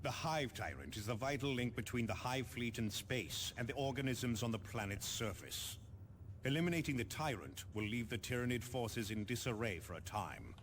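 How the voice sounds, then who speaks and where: Deep, commanding space marine captain. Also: male voice, slight background noise. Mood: stern. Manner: formal, commanding, deliberate. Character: authoritative male space marine captain, formal, resolute. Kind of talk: video game mission briefing.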